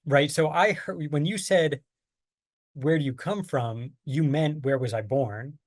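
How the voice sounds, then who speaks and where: A man's voice: fairly high pitch, unhurried, no room sound, studio quality. A man from the United States presenting a training lesson on survey design, explaining patiently with plain everyday examples. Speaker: workshop presenter. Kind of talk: online training lecture.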